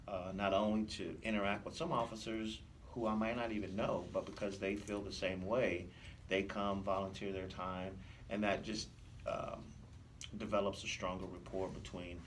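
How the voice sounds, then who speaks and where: A man with a low voice explains things as an interviewed police officer. He is earnest and somewhat formal, pausing as he searches for words. Faint noise sits behind him.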